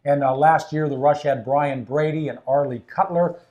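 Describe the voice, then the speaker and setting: A man's voice: a little high, moderate pace, a little mellow, highs cut off. A man hosting a casual sports interview in a coach's office at an ice rink, upbeat and chatty with fillers. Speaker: team broadcaster and host.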